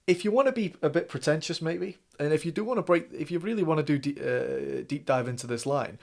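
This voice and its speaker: A man's voice: fairly high pitch, a little fast, creaky, a little mellow. A young man with an English accent hosting a reaction video, thinking aloud in casual commentary with frequent restarts and fillers. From England. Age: young adult.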